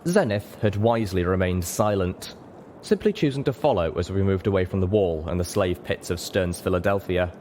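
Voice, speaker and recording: A man's voice: low voice, normal speed, a little mellow, slight background noise. A man reading a story aloud as scripted dramatic narration. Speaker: audiobook narrator.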